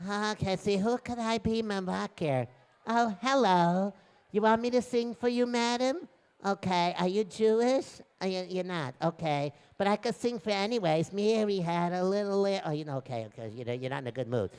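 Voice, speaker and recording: A man voicing a polite, helpful young girl in an oral story, gentle, chatty and a little hesitant. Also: high voice, moderate pace, clean tone, voice pushed up. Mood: cheerful, awkward.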